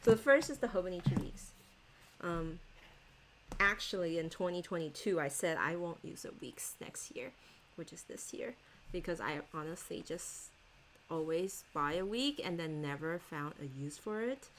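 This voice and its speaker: Chatty lower-voiced woman laughing about planners. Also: animated, normal speed, slight background noise, poor audio. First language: Chinese. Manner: chatty and rambling, laughs often, many fillers. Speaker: stationery vlogger. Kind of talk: casual chat showing planners.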